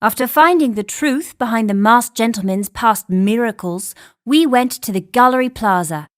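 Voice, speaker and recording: An actress playing a thoughtful young assistant who narrates a mystery game's story in a measured, pondering way. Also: female voice, fairly high pitch, natural voice. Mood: pensive. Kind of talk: scripted story narration in a game.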